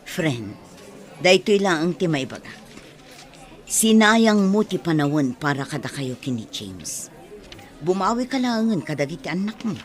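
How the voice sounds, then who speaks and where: A man's voice: on the high side, big pitch swings, unhurried, pauses often. A man with an Ilocano Filipino accent playing a frank, advising confidant in a radio drama. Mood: reproachful. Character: frank confidant giving sober advice to the couple. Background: music.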